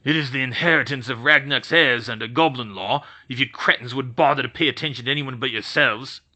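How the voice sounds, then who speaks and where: A man's voice: medium pitch, a little fast, sharp tone. A man voicing a haughty, scornful male goblin ambassador who growls his words. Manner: growling, contemptuous.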